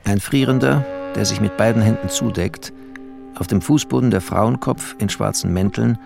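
Bookish refugee narrator, low gravelly voice, sardonic, terse, radio play. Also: male voice, moderate pace, music in the background. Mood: brooding, poetic. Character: wry, bookish refugee man narrating his journey.

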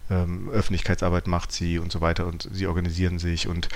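A man's voice: sits low, monotone, fast talker. A man hosting a podcast, talking reflectively and searching for his words as he thinks aloud. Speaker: podcast host. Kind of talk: reflective conversational discussion.

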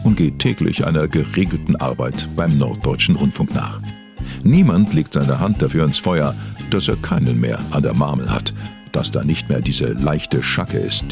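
A deep-voiced male podcast narrator delivers mock-documentary lines gravely. He stays solemn and deadpan over clearly audible background music. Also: heavily acted. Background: music under the voice.

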